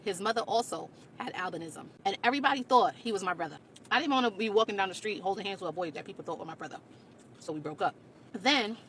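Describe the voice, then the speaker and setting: A woman speaks candidly about her own life in a mid-pitched voice. She is blunt and puts firm emphasis on her points. A faint noise sits behind her. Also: fairly bright tone, poor audio. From Caribbean. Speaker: video creator. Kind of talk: candid personal storytelling to camera.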